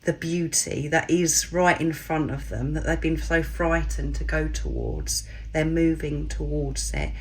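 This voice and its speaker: A woman with a croaky, hoarse voice from a cold, gently reading tarot cards and addressing listeners warmly as souls. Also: deep voice, lively intonation, unhurried, hardly any pauses. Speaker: tarot card reader. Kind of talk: spiritual card reading to camera.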